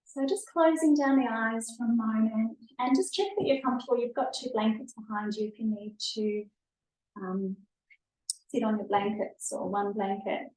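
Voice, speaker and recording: A woman yoga instructor leading a guided stretching and breathing class in a gentle, soothing, unhurried manner, recorded live in a studio. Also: on the high side, fairly even intonation. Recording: echoey room, distant mic.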